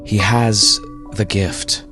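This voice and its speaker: A man reading a story, voicing a formal, measured male doctor. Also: lowish voice, fairly even intonation, music in the background. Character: formal bespectacled male doctor delivering test results. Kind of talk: audio fiction story reading.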